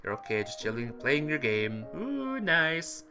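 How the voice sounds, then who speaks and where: Game streamer, bright forceful voice, animated pitch swings, dramatic read-aloud narration, music behind. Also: measured pace, vocal fry. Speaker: streamer reading a visual novel aloud. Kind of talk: game playthrough narration with chat asides.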